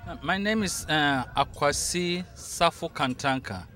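A man's voice: a little high, measured pace, pushed and bright. A middle-aged man with a Ghanaian accent, a nurse, speaking earnestly and plainly about his charity work, interviewed outdoors on a handheld mic. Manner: earnest, plain-spoken, adds short "yeah". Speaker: nurse and nonprofit organizer. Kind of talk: festival interview. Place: outdoors at a festival stall. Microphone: handheld mic held by interviewer.

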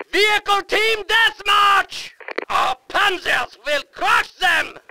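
A man's voice: very high-pitched, gravelly, background noise, heavily acted. A man playing a fervent German army soldier in a war video game, barking urgent, clipped battle announcements. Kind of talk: shouted combat announcements.

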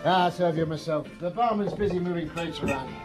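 A man's voice: fairly high pitch, a little fast, close to an everyday voice. An actor playing an affable but guarded male restaurant owner in a radio drama, chatty and evasive. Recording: music behind the voice. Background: music.

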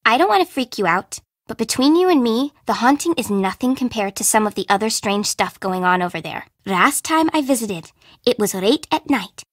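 An actress playing a dramatic, friendly young woman in a video game, expressive and informal. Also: on the high side, no echo, studio quality. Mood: spooky, conspiratorial. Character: perky, dramatic young woman, friendly but easily spooked. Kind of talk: scripted game dialogue.

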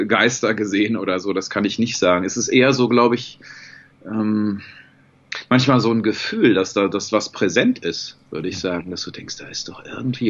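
A man in his forties with a fairly low voice speaks in a thoughtful, searching way, hesitating and restarting as he reflects. He talks over a video call from home. The sound comes through dull and lo-fi. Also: a bit bright. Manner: reflective, thinks aloud with many fillers and restarts. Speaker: guest voice actor. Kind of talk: casual video-call interview. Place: home room with bookshelves.

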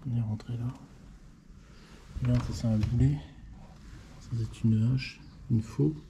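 A man's voice: medium pitch, steady tone, a little slow, noisy background. A man in his fifties, a motorcycle vlogger, chatting to the viewer as he explores a church and points things out. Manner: chatty, marvelling, talks to the viewer directly. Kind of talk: casual walking tour commentary. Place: inside a stone church and tower. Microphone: action camera held close.

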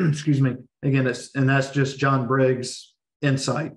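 Man, middle-aged, hoarse podcast host, easygoing and rambling, video-call sound. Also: medium pitch, slow pace. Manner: relaxed, rambling, with restarts. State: hoarse, losing his voice. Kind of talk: casual podcast interview. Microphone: video call webcam.

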